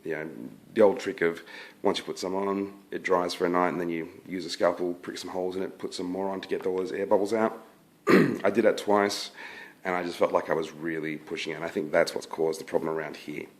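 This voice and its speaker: Croaky low-voiced man. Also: brisk. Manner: relaxed, hesitant, many fillers and restarts. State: croaky from illness. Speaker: scale model hobbyist presenting his build. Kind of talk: casual review to camera.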